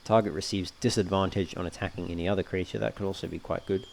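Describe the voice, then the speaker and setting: A man giving casual let's play commentary, thinking aloud in a measured, understated way and often reading game text out. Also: low-pitched, fairly even intonation, gravelly. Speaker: gamer narrating a playthrough.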